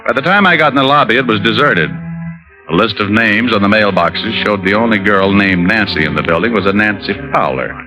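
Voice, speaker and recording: Actor as a low-voiced wisecracking American private eye. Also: male voice, expressive, dull audio, close to an everyday voice. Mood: brisk narration. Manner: wry, glib, quick with quips. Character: wisecracking, flirtatious male private detective. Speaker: detective and narrator. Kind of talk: 1940s-50s radio noir acting. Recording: old radio broadcast with music.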